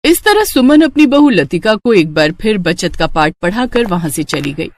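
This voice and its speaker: A woman reads a scripted moral tale as narrator. Her pitch is medium and moves around a great deal. The delivery suits a children's story. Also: big pitch swings, moderate pace.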